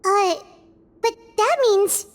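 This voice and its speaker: A woman's voice: very high voice, takes it slowly. A woman voicing a tiny, sweet, earnest head nurse in a video game, with a childlike voice and a polite clinical manner. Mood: curious, methodical. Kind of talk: scripted video game voice acting. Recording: background music under the voice.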